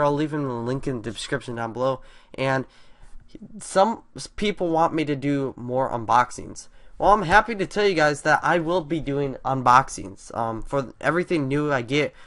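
A congested teenage boy talks to his channel's viewers in a loose, rambling way, full of fillers and restarts. His voice sits at an ordinary middle pitch, and he sounds eager. It is recorded on a desktop mic at home. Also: a bit bright. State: congested from a cold. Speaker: YouTuber. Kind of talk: casual vlog talk to viewers. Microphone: desktop USB mic over screen recording.

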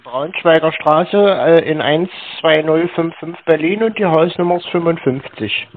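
A man's voice: a little high, a little slow. A man taking a phone call, answering with brief, easygoing replies. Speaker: call recipient. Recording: tinny phone line.

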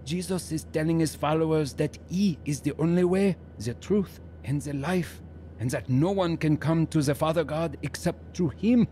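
An actor playing an earnest French husband, a musician and devout new believer, in a reverent radio drama style. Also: male voice, a little high, moderate pace, dark tone, slight background noise, natural voice. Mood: reverent, searching.

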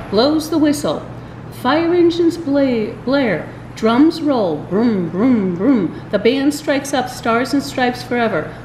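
An older woman reading a children's storybook aloud, expressive and deliberate, with small stumbles. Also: high voice. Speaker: library story time reader. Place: indoors in a large library room. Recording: roomy echo, faint background room noise.

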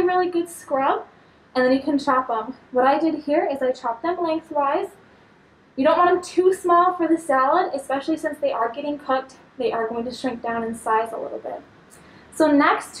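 A young woman giving an upbeat, instructive cooking demonstration in a large kitchen, talking as she works with food. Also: high-pitched. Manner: upbeat, instructive, talks while handling food. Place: large studio kitchen indoors. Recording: echoey kitchen, mic a bit distant.

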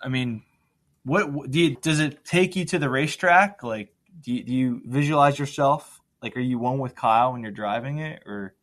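A man's voice: medium pitch, normal speed, fairly bright tone. A man co-hosting a podcast, chatting casually and enthusiastically, with slangy exclamations as he asks questions. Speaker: podcast co-host. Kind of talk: casual interview chat.

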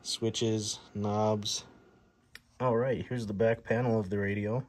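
A man's voice: fairly low pitch, flat delivery, slow pace, a little mellow. A man giving a casual, plainspoken hands-on demonstration in an indoor workshop. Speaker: host of a radio repair video. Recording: clear background noise, workshop ambience.

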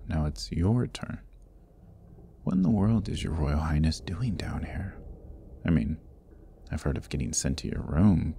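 A man's voice: warm tone, slight background noise, exaggerated voice. A man playing a sardonic jailed thief in an ASMR fantasy roleplay, wry and teasing, with whispered asides. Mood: shocked. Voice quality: very deep, gravelly. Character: roguish, sardonic male thief jailed in a dungeon. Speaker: voice actor.

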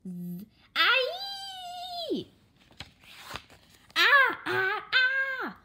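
A woman reading a comic story voices an excitable young kid, shrieking and sing-song. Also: very high-pitched, very slow, background noise, voice pushed up, full character voice. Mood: pained shrieking.

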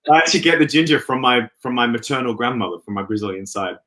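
A man in his thirties from Western Australia, a guest on a video call, talking in an easygoing, hesitant way with many pauses and restarts. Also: medium pitch, expressive, pushed and bright. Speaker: guest, opera singer. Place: indoor room at home. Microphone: laptop webcam on video call.

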